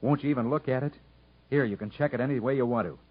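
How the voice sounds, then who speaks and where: A man acting a quiet, proud, plainspoken convict inventor who is polite but determined, in a 1950s radio drama. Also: mid-range voice, fast, natural voice. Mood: earnest, determined. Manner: plainspoken, understated, earnest. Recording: muffled 1950s radio broadcast.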